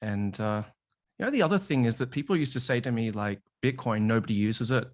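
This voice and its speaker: A man's voice: mid-range voice, fairly quick. A man co-hosting a podcast, speaking in a loose conversational way with fillers. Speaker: podcast co-host. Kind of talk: casual conversation.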